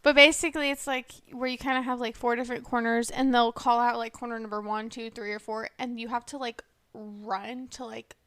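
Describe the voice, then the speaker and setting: Bright high-voiced young woman, soft-spoken. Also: level tone, fairly quick, smooth, no room sound. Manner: soft-spoken, quieter than her co-host; rambling, heavy use of "like". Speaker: podcast co-host. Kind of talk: casual chat.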